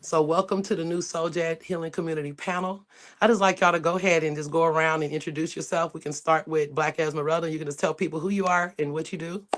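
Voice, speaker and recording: A woman's voice: sits low, fairly even intonation, fast talker. A woman hosting a casual live online panel over a video call, talking loosely and often restarting her sentences. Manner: loose, rambling, with frequent restarts. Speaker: panel host.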